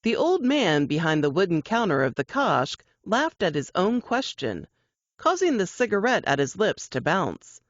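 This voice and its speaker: A woman's voice: fairly low pitch, dry recording, highs cut off. A woman narrator reading suspense fiction from a script in audiobook style.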